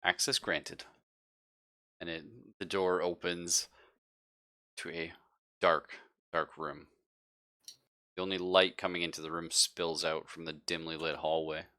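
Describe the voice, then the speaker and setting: A man with a deep voice and a sharp, bright edge. He acts as game master, describing scenes on the fly and chatting casually in between. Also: level tone, measured pace, long pauses, smooth. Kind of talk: improvised narration and casual chat in a tabletop game.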